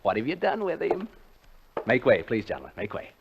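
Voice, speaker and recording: An actor playing a nervous, lonely broadcaster unravelling in a haunted house, stammering and rambling in 1940s radio suspense style. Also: male voice, medium pitch, wildly swooping pitch, brisk, noisy background. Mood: hysterical, unhinged. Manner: stammering, rambling, repeats words, nervous chuckles. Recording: muffled vintage 1940s radio recording.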